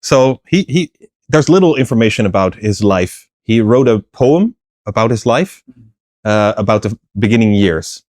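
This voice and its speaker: Guy explaining plants, kinda low voice, lots of ums and restarts, dry close sound. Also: expressive, moderate pace, darkish tone, no echo. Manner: thoughtful, many fillers and restarts, laughs lightly. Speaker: guest, plant philosopher. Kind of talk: conversational interview answers.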